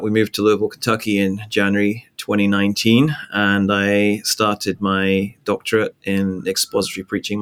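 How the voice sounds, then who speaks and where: A man in his forties from England with an English accent, a pastor telling his story in a relaxed interview over a call. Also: on the low side. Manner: relaxed storytelling, frequent ums, light laughs. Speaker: pastor, guest. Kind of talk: casual interview talk. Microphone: video call.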